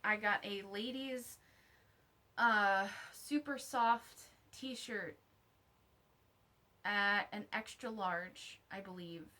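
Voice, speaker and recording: A woman's voice: medium pitch, extremely slow, pauses often, bright, forceful tone. A woman vlogger giving a casual, unscripted review to camera, candid and wry, with conversational asides.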